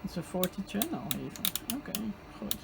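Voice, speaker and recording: A Dutch-accented man in his forties talks slowly through a repair at his workbench, hesitating as he goes. His voice is gravelly and rises and falls a lot. Steady room noise sits clearly behind him. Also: sits high. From Netherlands. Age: 40s. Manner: thinks aloud step by step, hesitant with fillers. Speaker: hobbyist repairing a CB radio. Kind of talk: hands-on repair vlog.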